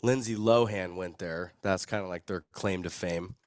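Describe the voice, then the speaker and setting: Very deep male voice, American, young, stuffed with a cold, casual slangy storytelling, dry close recording. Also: moderate pace, no room sound. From Arizona, United States. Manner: laid-back slangy, chuckles at own story. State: sick with a cold. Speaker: podcast guest, recovery video producer.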